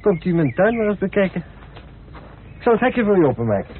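A man's voice: high voice, sing-song, fairly quick. An actor playing an eccentric, hospitable male house owner in a radio play, chatty, courteous and effusive. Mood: eager, hospitable. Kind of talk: Dutch radio drama acting. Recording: tinny, noisy old radio recording.

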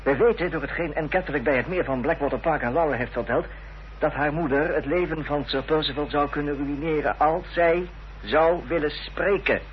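An actor playing a determined gentleman investigator, formal and reasoning, in a period radio drama. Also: a little high, moderate pace, lightly acted. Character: earnest, determined gentleman investigator in Victorian mystery. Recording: dull, noisy, humming old radio recording.

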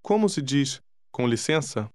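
A man narrating a language course, giving calm, deliberate prompts to listen and repeat. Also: mid-range voice, dry recording, clean studio sound. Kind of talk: scripted instruction.

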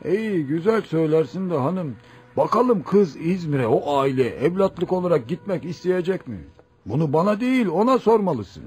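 A man's voice: fairly high pitch, big pitch swings, moderate pace, a little mellow, husky. An actor playing a weary, protective old village elder in his seventies, in a radio drama with an exclamatory, paternal delivery. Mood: resigned, bitter. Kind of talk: radio theatre acting.

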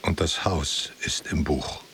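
An actor playing an ancient wandering guardian of a book, giving grave, cryptic answers in a poetic radio drama. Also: male voice, extremely low pitch, fairly even intonation, gravelly, a bit bright, a little hiss or hum, exaggerated voice. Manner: slow, cryptic, solemn answers.

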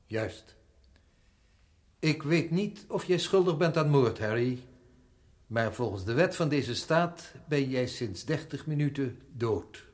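An actor playing a sober, authoritative prison director in a radio play, speaking in a measured, formal way. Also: male voice, mid-range voice, expressive. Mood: grave, reassuring.

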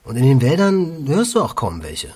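A man playing an insistent expatriate explaining things over drinks, husky, in naturalistic radio drama. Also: medium pitch, huge pitch swings, moderate pace, darkish tone. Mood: insistent, animated.

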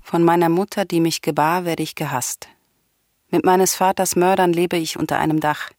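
An actress playing a grieving, vengeful, defiant princess in a classical radio tragedy, speaking in solemn, impassioned declamation. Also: female voice, lowish voice, steady tone, moderate pace, a little mellow, lightly acted. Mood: bitter lament.

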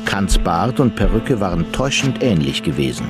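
A man narrating a crime radio drama in a measured storytelling manner. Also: low voice, very animated, over loud music.

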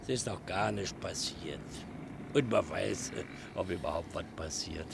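A man's voice: low-pitched, unhurried, creaky, exaggerated voice. A man playing a placating, nosy kiosk customer in a German radio play, talking in a colloquial, appeasing way. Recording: noisy vintage radio drama.

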